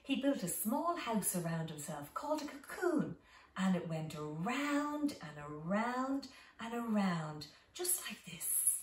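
A middle-aged woman reading a children's story aloud as a teacher, with simple, deliberate phrasing and expressive emphasis. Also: medium pitch. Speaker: home teacher reading a picture book to young children. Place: small living room at home, indoors. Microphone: camera a few metres away. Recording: roomy echo, distant microphone.

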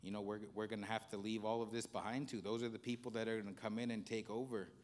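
Man, from Canada, low voice, earnest, halting repeats, conference hall, handheld mic. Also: flat delivery. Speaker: panelist, economic development officer. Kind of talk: conference panel remarks. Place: large conference hall stage.

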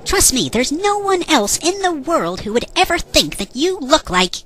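A woman's voice: high-pitched, moderate pace. A woman voicing a snippy little fairy sidekick with exasperated sarcasm in a parody dub. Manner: scolding, deadpan sarcasm. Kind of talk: fan parody cartoon dub.

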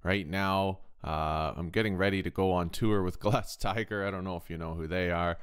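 A man hosting a casual software tutorial from a kitchen, chatty and informal, often checking in with questions. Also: low-pitched, steady tone, normal speed, smooth. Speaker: tutorial host, touring guitar tech.

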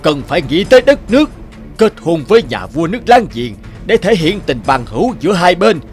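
An actor playing a stern, quick-tempered emperor and father in a cartoon folk tale, speaking in a commanding way. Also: high-pitched, sing-song, faint music behind. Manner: commanding, imperious. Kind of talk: animated folk-tale dialogue.

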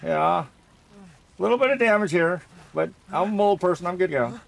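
A man's voice: high-pitched, animated, a little fast, vocal fry. A man giving casual video game playthrough commentary, jokey and thinking aloud as he goes.

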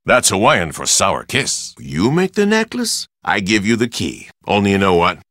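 An actor playing a boisterous Hawaiian tour operator in a video game, with hearty, pushy sales patter in short punchy phrases. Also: male voice, lowish voice, wildly swooping pitch, normal speed, fairly bright tone, no room sound, studio quality, full character voice. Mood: teasing, bossy. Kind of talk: video game character dialogue.

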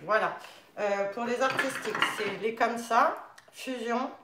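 A woman's voice: a little high, measured pace, heavy background noise. A young French-speaking woman demonstrating nunchaku models in a casual live stream from her living room, chatty and full of fillers. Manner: chatty, many fillers, demonstrating while talking. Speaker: nunchaku instructor. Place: living room at home, indoors. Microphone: camera across a table. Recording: echoey room.

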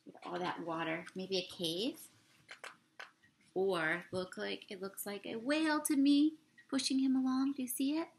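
A woman's voice: mid-range voice, steady tone, a little slow, creaky, slight background noise, rough recording. A young woman reading a picture book aloud to children, expressively drawing out words, talking through the pictures and asking her listeners questions. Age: young adult. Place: small room indoors.

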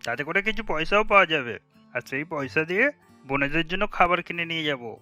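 An actor playing a caring, eager ten-year-old younger brother in a cartoon, earnest and coaxing. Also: male voice, on the high side, lively intonation, fairly quick, pushed and bright, soft background music. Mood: hopeful.

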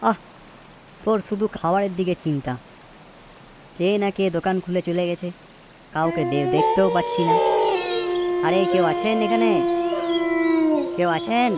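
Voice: medium pitch, normal speed, pauses often, natural voice. An actor as wary village woodcutter, noisy backdrop. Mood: wary, puzzled. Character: wary village woodcutter friend in a spooky cartoon. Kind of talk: cartoon character acting.

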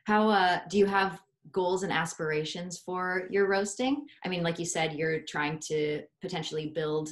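Low-voiced woman interviewer. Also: moderate pace, few pauses. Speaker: interview host. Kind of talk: casual interview questions. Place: small room indoors, video call. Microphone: computer mic on a video call.

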